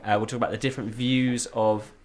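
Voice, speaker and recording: A man hosting a faith podcast in a relaxed, friendly chat with two guests. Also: mid-range voice, fast talker. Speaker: podcast host.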